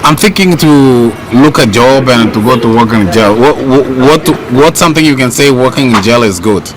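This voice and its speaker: A man asks questions in casual chat, halting and repeating his words. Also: medium pitch, animated, crowd noise, low-quality recording. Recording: clear outdoor street and car noise.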